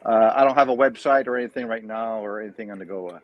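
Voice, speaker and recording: A man's voice: fairly low pitch, fast, a crowd in the background. A man from New Brunswick with a French Acadian accent, chatting hesitantly as a guest on a video call from a tablet, with lots of fillers and restarts. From New Brunswick, Canada. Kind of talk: casual chat on a video call. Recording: slightly dull lo-fi audio.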